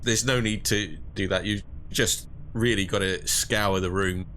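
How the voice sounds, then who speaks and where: A man running a tabletop game as game master, explaining rules and scenes in a measured way. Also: on the low side, steady tone, sharp tone, a little hiss or hum.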